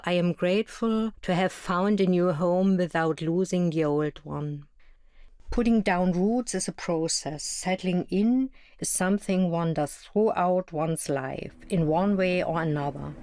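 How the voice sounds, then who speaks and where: A woman from East Germany narrates a scripted first-person account. Her voice is on the low side, and the sound is close and dry with no room around it. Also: a little mellow, no echo.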